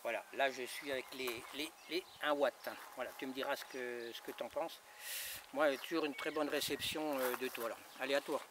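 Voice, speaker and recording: A man in his fifties chatting casually in a radio check, halting and repetitive, handing the turn back. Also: mid-range voice, steady tone. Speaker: amateur radio operator. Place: outdoors in a gravel yard by a house. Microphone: camera a few metres away. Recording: distant camera mic, noisy open air.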